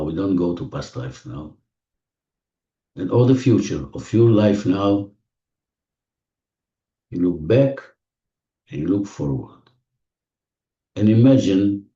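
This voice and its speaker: A man leading a guided visualization in non-native English phrasing, giving gentle, repetitive instructions with deliberate pauses, recorded muffled and distant in an echoey room. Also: lowish voice. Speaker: guided meditation leader. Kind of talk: guided visualization, spoken slowly to a listener.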